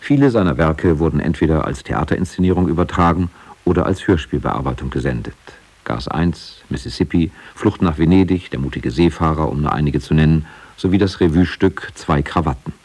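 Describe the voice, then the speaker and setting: A man narrates a scripted radio documentary in a low voice with a husky grain. His delivery is measured and factual. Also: darkish tone.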